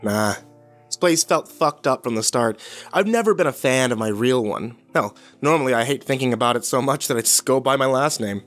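Man acting a weary horror-drama protagonist, mid-pitched, brooding, cynical, sardonic, over music. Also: animated, brisk, a bit bright, close to an everyday voice. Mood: wry, guarded. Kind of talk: audio drama acting, first-person horror narration. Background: music behind the voice.

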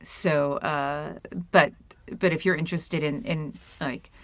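A middle-aged woman chatting and explaining as an interview guest, animated, playful and self-deprecating. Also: sits low, slow pace, dry recording. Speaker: guest puppeteer and author. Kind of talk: casual interview chat with explanations. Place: small room at home, video call.

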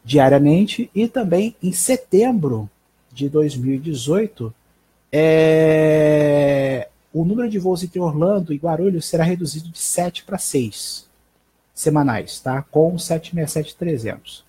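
Husky, higher-pitched Brazilian man. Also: animated, mellow. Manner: halting, informal, frequent check tags. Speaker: live stream host. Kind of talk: casual live aviation news roundup. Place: small bedroom at home. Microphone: headset microphone.

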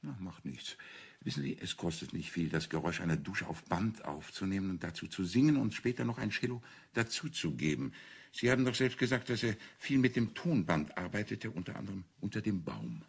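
An actor playing a shrewd priest and amateur detective in a German radio crime drama, explaining deliberately and with self-assurance. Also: male voice, low-pitched, expressive, gravelly, slightly rough, full character voice. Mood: assured, triumphant.

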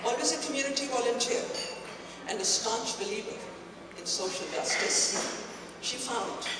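A middle-aged woman giving a measured, declamatory formal introduction at a podium microphone in a banquet hall. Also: mid-range voice. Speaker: speaker introducer. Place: large banquet hall, indoors. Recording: echoey hall, distant mic, noisy.

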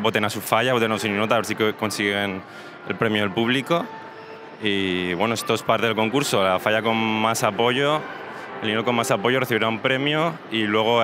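A man's voice: on the low side, noisy background. A man, vlogging a walking tour of a crowded indoor exhibition hall. He talks eagerly and straight to his viewers into a handheld mic held at his mouth. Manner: enthusiastic, addresses viewers directly. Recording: music playing under the voice.

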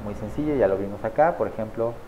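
Low-voiced man giving a casual software tutorial. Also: fast talker, muffled recording, a little hiss or hum. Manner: casual, talks viewers through clicks with frequent "¿vale?" and "¿sale?" checks. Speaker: online marketing tutor. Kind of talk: screen-share software tutorial. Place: small indoor room at a desk.

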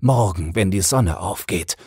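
A stern, wrathful storybook robber chief, as a male reader puts him on. He speaks formally and with menace, commanding everyone, in a husky voice lifted above the reader's own. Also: deep voice, measured pace, darkish tone, no room sound, studio quality, voice pushed up, exaggerated voice.

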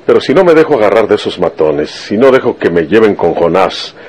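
Rustic Mexican lawman, low and rough-voiced, blunt and stubborn, for radio drama. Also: sing-song, brisk, darkish tone. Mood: defiant, urgent. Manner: folksy country speech full of rustic colloquialisms. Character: stubborn, vengeful rural Mexican detective, blunt and earnest. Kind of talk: Spanish-language radio serial acting.

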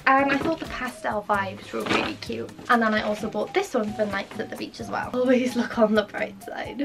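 A young woman chatting excitedly in a casual shopping haul from her bedroom. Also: fairly high pitch. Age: young adult. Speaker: vlogger. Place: pink bedroom indoors. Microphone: camera on a stand, sitting close. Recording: music playing under voice. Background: background music.

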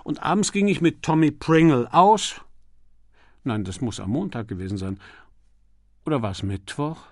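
A man's voice: fairly high pitch, animated, moderate pace, pauses often, no echo, studio quality. A man voicing a young medical student who is unsure, self-deprecating and hesitant, thinking aloud as in an audiobook. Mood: unsure, flustered.

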